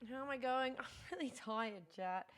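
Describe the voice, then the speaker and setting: A tired young woman streaming, chatting casually with her chat, her voice going hoarse. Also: sits high, moderate pace, fairly bright tone. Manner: rambling, chatty, many fillers.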